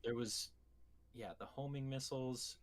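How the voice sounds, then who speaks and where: A man's voice: mid-range voice, fairly even intonation, normal speed. A man talking casually as a co-host on a gaming show. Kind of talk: casual chat.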